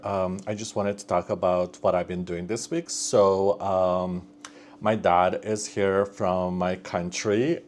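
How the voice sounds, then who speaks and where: Rambling young man, low voice. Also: darkish tone, a little hiss or hum. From Bosnia and Slovenia region, raised in North America from thirteen. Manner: rambling, many fillers and restarts. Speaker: vlogger. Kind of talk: casual vlog chat. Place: at home, working on a build project.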